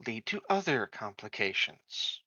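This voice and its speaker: A man playing a tabletop roleplaying game, stammering at first and then speaking slowly and deliberately in character. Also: lowish voice, big pitch swings, sharp tone, no echo, highs cut off. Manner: halting, stammering starts, then slow measured speech. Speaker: player. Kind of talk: in-character roleplay as a synthetic colonist.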